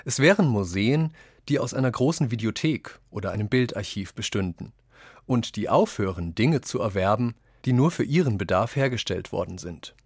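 Male quotation reader for radio essay, fairly low voice, dry studio sound. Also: expressive, normal speed, a little mellow, breathy edge, no room sound. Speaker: reader of quoted passages. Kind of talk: scripted reading in a radio essay.

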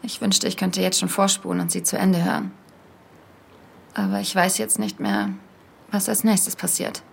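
A haunted woman podcaster on a search in an audio drama. Her mid-pitched voice carries a lot of creak, and she speaks with earnest intensity. A little background noise sits behind her. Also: steady tone, moderate pace. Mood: earnest, determined. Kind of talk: audio drama, first-person podcast narration.

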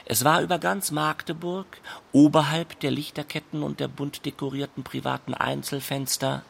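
A man reading scripted literary narration in a measured, deliberate way. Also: medium pitch. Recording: clear background noise.

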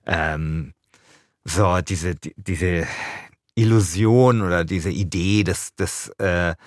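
A man's voice: low-pitched, slow pace, dry recording, studio quality. A man speaking as a podcast co-host, moving between casual chat and scripted narration.

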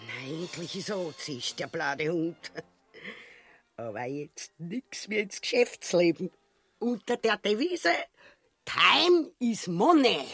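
A woman playing a tyrant's greedy, scheming, jittery wife with a husky, breathy Austrian voice, muttering and exclaiming to herself in a comic radio play. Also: fairly low pitch, big pitch swings, slow pace, music in the background, full character voice. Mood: scornful, greedy. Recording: long echoing vault reverb.

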